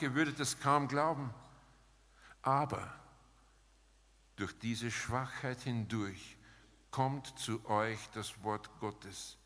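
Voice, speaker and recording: A man preaching a sermon in an emphatic, rhetorical manner, setting out short phrases with pauses between them. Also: mid-range voice, a bit bright. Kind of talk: sermon with dramatized paraphrase, closing in prayer. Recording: long hall reverb.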